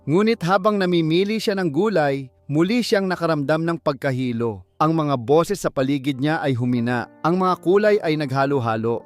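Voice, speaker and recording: Higher-voiced man narrating dramatic stories. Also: moderate pace, dry recording, faint music behind. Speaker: audiobook narrator. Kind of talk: dramatic scripted storytelling.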